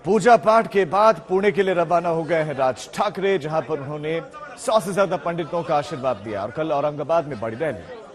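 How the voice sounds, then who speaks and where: A man's voice: a little high, normal speed. A man presenting scripted news as an anchor. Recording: long reverb, crowd noise.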